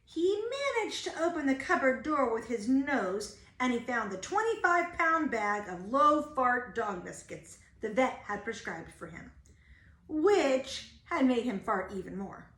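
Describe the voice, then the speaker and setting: A woman's voice: on the high side, expressive, measured pace, fairly bright tone. A woman reading a children's storybook aloud like a teacher, expressively stressing key words. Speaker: teacher reading a picture book. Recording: roomy, echoing home-style recording.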